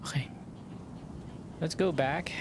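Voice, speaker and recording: A man's voice: on the high side, slow pace, noisy background. A man chatting casually while livestreaming a video game. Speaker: game streamer. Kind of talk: casual livestream chat over gameplay.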